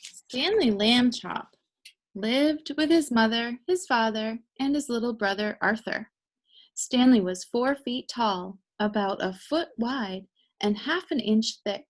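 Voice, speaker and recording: A woman reading a storybook to children in a deliberate, expressive storytelling manner. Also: a little high. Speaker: read-aloud storyteller. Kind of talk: children's storybook read-aloud.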